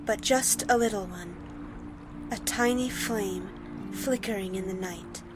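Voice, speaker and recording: An actress playing a calm, tender elf woman in an audio drama, speaking in a hushed, soothing, hypnotic manner. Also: medium pitch, unhurried, natural voice. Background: music.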